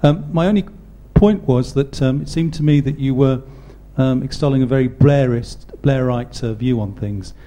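A man's voice: mid-range voice, moderate pace, hardly any pauses, warm tone. A man in the audience asking a long question hesitantly, with many ums. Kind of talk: question from the floor.

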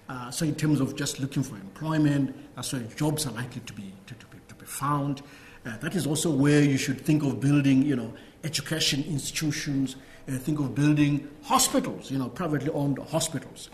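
A middle-aged man giving a talk and answering questions, halting often and repeating words. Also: mid-range voice, a little hiss or hum. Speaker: guest speaker. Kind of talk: lecture and Q&A answers.

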